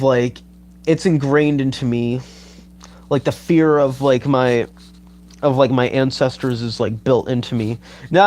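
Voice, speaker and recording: A man of about twenty on a livestream, rambling and halting with lots of fillers and swearing as he reads his chat. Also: medium pitch, a little mellow. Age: 20s. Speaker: YouTuber reading live chat. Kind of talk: rambling casual livestream talk.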